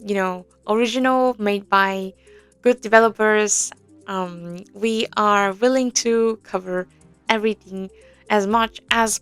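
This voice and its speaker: A young woman talks slowly and unhurriedly, explaining a game in a casual walkthrough. She hesitates often, filling gaps with ums and small nervous laughs. Faint music sits behind her. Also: medium pitch, clean tone. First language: Korean. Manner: hesitant, many fillers, soft nervous laughs. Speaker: audio game tutorial presenter.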